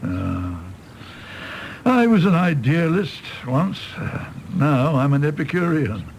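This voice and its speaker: Radio drama role: a world-weary British doctor, wry philosopher, gravelly and widely swooping in pitch. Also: male voice, sing-song, slow pace, darkish tone, a little hiss or hum, exaggerated voice, rough recording. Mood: wry, cynical. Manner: wry, rambling, theatrical musing. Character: cynical, world-weary doctor, a fallen idealist, teasing and philosophical. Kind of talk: British radio play acting.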